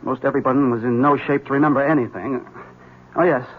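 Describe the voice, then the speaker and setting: An actor playing an agitated, desperate husband in an old-time radio drama, speaking with intense, insistent urgency. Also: male voice, mid-range voice, fairly even intonation, fairly quick, lightly acted. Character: agitated, desperate husband convinced his wife is a witch. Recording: dull lo-fi vintage radio recording.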